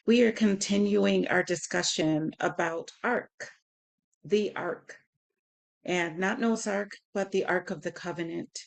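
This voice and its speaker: Hoarse, husky woman leading Bible study. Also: on the low side, takes it slowly. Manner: informal, conversational, greets listeners by name. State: hoarse, sore voice. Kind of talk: live Bible teaching, conversational with scripture reading.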